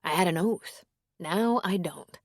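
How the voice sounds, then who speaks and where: A woman voicing a gentle middle-aged man, an earnest former priest, in a warm, softly persuasive read. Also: on the low side, measured pace, no echo, clean studio sound. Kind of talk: audiobook character reading.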